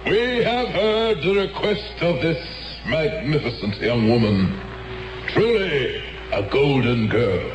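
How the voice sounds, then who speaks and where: Grandiose, slow, echoing faith healer. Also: male voice, on the high side, sing-song. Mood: solemn, exalted. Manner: grandiose, solemn, theatrical pronouncements. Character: charismatic male faith healer preaching to his followers. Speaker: cult preacher. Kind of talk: 1970s radio drama acting. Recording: echoey hall, music behind.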